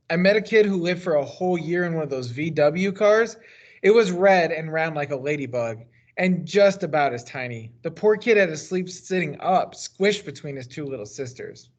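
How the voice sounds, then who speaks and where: An American man reads a children's story aloud in a slightly high-pitched voice. He leans into the start of each phrase and lets the endings drop. The recording is a little dull and lo-fi. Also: expressive, moderate pace. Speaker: teacher reading a book aloud. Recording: dull, lo-fi sound.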